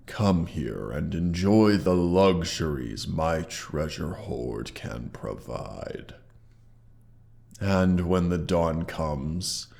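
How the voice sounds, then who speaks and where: Very deep, proud gravelly dragon. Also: male voice, darkish tone, exaggerated voice. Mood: coaxing. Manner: pompous, condescending, deliberate theatrical phrasing. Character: proud, mighty male dragon, haughty yet grudgingly grateful. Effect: sound effects processing on the voice. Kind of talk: scripted character roleplay audio.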